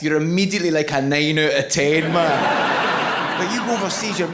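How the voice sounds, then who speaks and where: A man's voice: a little high, background noise. A Scottish man performing a stand-up comedy set, chatty and self-mocking, laughing at his own jokes. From Scotland. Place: large comedy venue with live audience. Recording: live show with loud crowd laughter.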